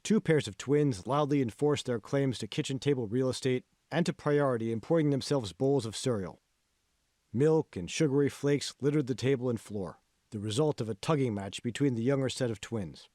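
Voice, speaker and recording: A man reading scripted audiobook narration as an author, in measured, clearly phrased storytelling. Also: mid-range voice, dark tone, no room sound, studio quality.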